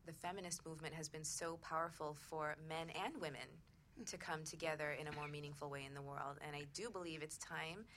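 Woman, deep register, bright forceful tone, talk radio host, thoughtful delivery, slight background noise. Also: fairly even intonation, brisk.

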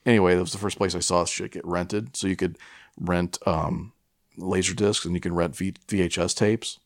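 A middle-aged American man with a low voice, recorded dry and close. He chats casually as a podcast co-host, wandering through his stories with stops, restarts and plenty of swearing. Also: fairly even intonation, no echo. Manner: profane, rambling, halting. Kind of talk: casual chat, rambling storytelling.

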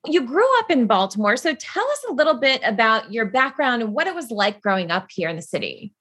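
A woman's voice: a little high, big pitch swings, a little fast, a bit bright, slightly rough. A woman hosting a TV interview, delivering a polished scripted intro and asking friendly questions. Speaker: TV host.

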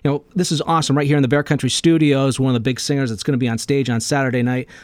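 A man hosting a radio morning show, interviewing in an upbeat, animated announcer style from a studio. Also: medium pitch, very fast, dry recording. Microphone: close studio microphone.

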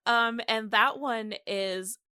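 A woman's voice: fairly high pitch, slow, pushed and bright, clear, resonant tone, no room sound, clean studio sound. A woman hosting a podcast, telling history in a casual, jokey, conversational way. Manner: jokey, giggly, informal. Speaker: podcast host.

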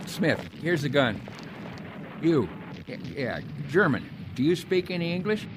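A tough, edgy young American infantryman, acted by a man, blunt and clipped, his fairly high voice moving widely in pitch. It is war radio drama with battle noise behind. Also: very animated, measured pace. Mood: stern, threatening.

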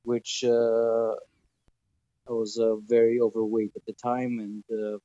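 Podcast guest, man from Greece, mellow voice, relaxed and hesitant, dry lo-fi sound. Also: medium pitch, takes it slowly, no room sound. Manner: relaxed, hesitant with many fillers. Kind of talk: casual interview chat.

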